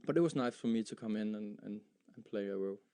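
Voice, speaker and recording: Low, smooth-voiced young Danish man. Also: warm tone. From Denmark. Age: young adult. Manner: halting, many fillers and repeated words. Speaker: footballer. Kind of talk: post-match style interview.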